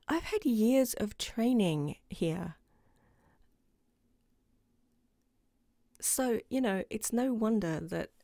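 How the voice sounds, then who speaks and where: A woman's voice: medium pitch, warm tone, no room sound, clean studio sound. A woman playing a playful, affectionate girlfriend in an intimate ASMR roleplay, with a teasing manner. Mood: reassuring, affectionate. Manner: teasing, giggly, sometimes dropping to a whisper. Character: playful affectionate new girlfriend, proud martial arts instructor. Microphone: close microphone in a dry room.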